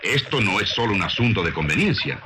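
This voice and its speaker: A man narrating a scripted science documentary in a measured, formal, didactic manner on an old soundtrack. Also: fairly low pitch, expressive, noisy background.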